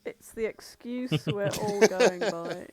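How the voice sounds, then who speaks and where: A woman's voice: mid-range voice, takes it slowly, a little mellow. A woman co-hosting a podcast, making wry remarks in casual banter.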